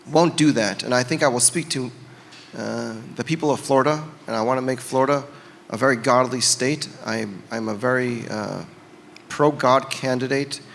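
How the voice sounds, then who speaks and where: Earnest man pitching himself in a hall. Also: creaky, a bit bright. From United States. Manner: earnest, hesitant with many fillers. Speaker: panel guest, candidate for governor. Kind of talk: campaign-style panel talk. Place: conference hall. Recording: reverberant hall with faint noise.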